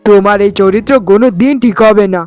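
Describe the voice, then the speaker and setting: A man's voice: high-pitched, fairly even intonation, fast talker, a little mellow, clean tone, no room sound, faint music behind, natural voice. A man voicing an earnest village fish-farming brother in a cartoon family drama, talking emphatically. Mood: exasperated.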